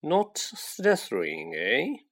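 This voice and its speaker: A sly old talking hat from a storybook, murmuring its thoughts slowly and drawing out each word, as a man puts it on. The voice swoops up and down in pitch as it muses. It sounds hushed and close, as if speaking right into someone's ear. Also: wildly swooping pitch, creaky, breathy edge, full character voice. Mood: coaxing. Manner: slow, drawn-out musing. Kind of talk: audiobook character voice.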